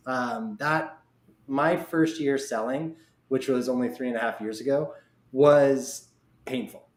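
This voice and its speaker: A man with a mid-pitched voice in a roomy, echoey space. He talks casually as a podcast host, slipping into little quoted asides as he explains things in a conversational way. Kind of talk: casual interview chat.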